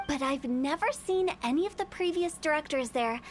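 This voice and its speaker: A woman's voice: high-pitched, lively intonation, normal speed, slight background noise. A woman voicing a playful, eccentric young funeral parlor director in a video game, with a mischievous, theatrical and breezily morbid manner. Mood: reflective.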